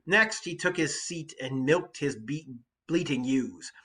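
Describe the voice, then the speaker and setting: Bright-voiced man teaching and reading aloud. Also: fairly high pitch, animated, unhurried. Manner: informal, conversational explanations with asides. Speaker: English teacher. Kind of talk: reading aloud with explanatory lesson commentary.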